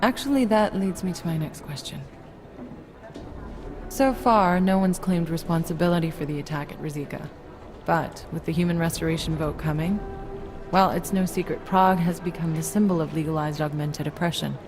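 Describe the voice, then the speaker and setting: An actress playing a calm, probing doctor who questions a patient in a game cutscene. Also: female voice, sits low, moderate pace. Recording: game cutscene with loud music.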